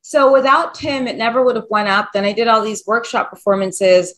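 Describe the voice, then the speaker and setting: A woman with a fairly high voice chats casually as a podcast host. She rambles from one point to the next with eager enthusiasm. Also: brisk, fairly bright tone, rough recording. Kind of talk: casual chat.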